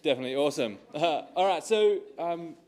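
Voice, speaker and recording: A man's voice: on the high side, expressive, fairly quick, a little mellow. A man hosting a church evening, talking casually with many hesitant fillers. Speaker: event host. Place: church hall.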